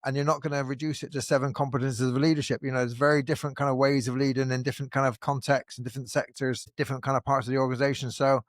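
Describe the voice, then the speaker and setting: Male podcast host, rapid and animated delivery, lots of restarts, dry close sound. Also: medium pitch, no echo. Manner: animated, with frequent restarts and fillers. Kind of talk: casual conversational interview.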